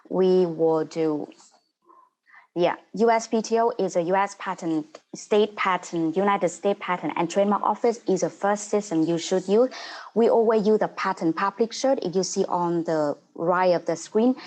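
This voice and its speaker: A woman in her thirties from Vietnam with a Vietnamese accent, a non-native English speaker, giving an earnest, halting webinar talk as a paralegal over a video call. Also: medium pitch, a little mellow. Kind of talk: online webinar presentation.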